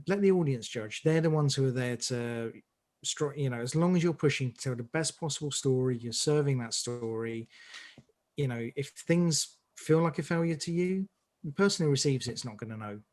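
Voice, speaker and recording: A man's voice: on the high side, normal speed, darkish tone. A man giving rambling, emphatic advice in a conversational interview as a director. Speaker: audio drama director on an interview panel.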